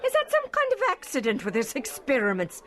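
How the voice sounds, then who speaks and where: Fussy, wildly expressive innkeeper landlady. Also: high voice, a little fast. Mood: dismissive, gossipy. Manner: scolding, fussy, emphatic. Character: fussy, bossy village innkeeper woman, gossipy and indignant. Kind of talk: audio drama acting.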